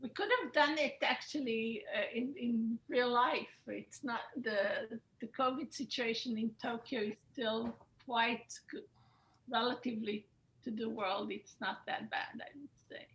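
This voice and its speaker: A woman's voice: a little high, steady tone, a little slow, a bit bright, rough recording. A woman with an Israeli accent, an attorney moderating a webinar over a video call, talking with frequent hesitations. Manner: hesitant, many fillers. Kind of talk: webinar introduction.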